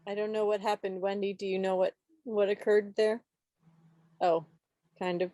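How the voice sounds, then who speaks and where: A woman's voice: medium pitch, flat delivery, moderate pace, mellow, dry recording. A woman hosting a quiz at an online club meeting, chatting casually over a video call.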